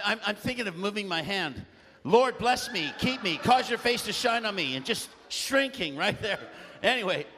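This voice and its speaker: A man preaching a sermon with a loud voice and animated storytelling, often clearing his throat. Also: high-pitched, long reverb tail.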